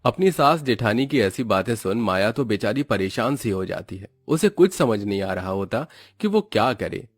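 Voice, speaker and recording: A man's voice: fairly low pitch, lively intonation, moderate pace, hardly any pauses, no echo. Indian man narrating, very dry sound. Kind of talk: scripted cartoon story narration.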